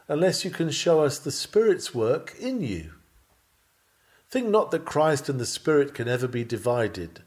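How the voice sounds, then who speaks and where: A man reading a written sermon aloud in an earnest, emphatic and formal preaching manner. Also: mid-range voice. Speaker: reader of a sermon text.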